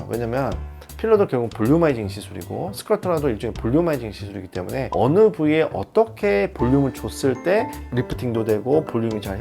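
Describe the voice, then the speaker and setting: A skin doctor talks viewers through a cosmetic treatment at a quick clip, his voice carrying a gravelly rasp. He explains steadily and gives practical advice. Music plays clearly behind him. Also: mid-range voice. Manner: explanatory, advising with examples. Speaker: dermatologist.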